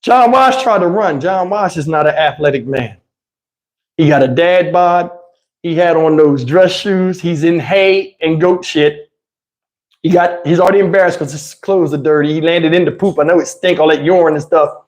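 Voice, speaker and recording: A man telling personal stories in a casual, animated, slangy way, swearing freely and acting out what people said. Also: fairly high pitch, normal speed. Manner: acts out dialogue and sound effects. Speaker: storyteller host. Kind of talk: animated casual personal storytelling with acted quotes.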